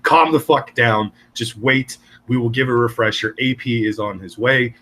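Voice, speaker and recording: Sharp-voiced man ranting on a stream. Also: medium pitch, normal speed. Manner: blunt, swears constantly, exasperated rants. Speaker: stream host. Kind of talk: casual profane stream chat.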